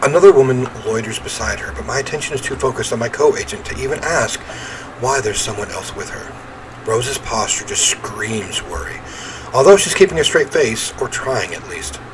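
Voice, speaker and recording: A man's voice: medium pitch, animated, normal speed, noisy background. A man reading a visual novel aloud as he plays, with theatrical, emphatic narration and offhand reactions.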